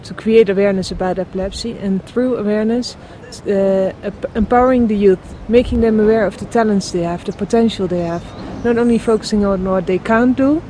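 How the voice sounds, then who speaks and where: A woman's voice: medium pitch, warm tone, rough recording. Earnest woman, street noise behind. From Netherlands. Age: 20s. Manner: earnest, pausing often to find words. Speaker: project founder. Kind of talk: documentary interview. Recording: outdoor street noise with passing traffic.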